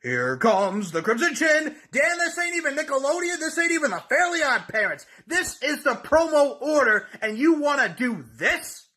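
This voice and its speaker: A man's voice: very high voice, sing-song, moderate pace, few pauses, pushed and bright. Boastful man cutting a wrestling promo. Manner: boastful, taunting, theatrical. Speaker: podcast promo competitor. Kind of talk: wrestling trash-talk promo.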